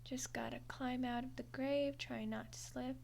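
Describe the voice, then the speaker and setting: A woman's voice: sits high, fairly even intonation, moderate pace, gravelly, a little mellow, slight background noise. A woman voicing a friendly, awkward undead skeleton girl in an audio roleplay, chatty and hesitant with a wry, defensive streak. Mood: grumbling, resigned. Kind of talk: audio roleplay monologue to the listener.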